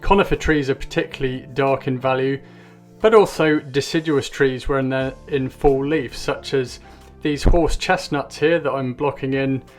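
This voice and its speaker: Friendly English painting tutor. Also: male voice, mid-range voice, moderate pace, faint music behind. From England. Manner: friendly, explanatory.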